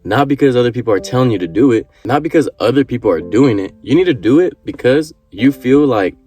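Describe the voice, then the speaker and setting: A man's voice: mid-range voice, animated, quick pace, dark tone, soft background music. A man in his early twenties giving an earnest motivational talk to camera, repeating his points and swearing casually. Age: 20s. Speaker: vlogger.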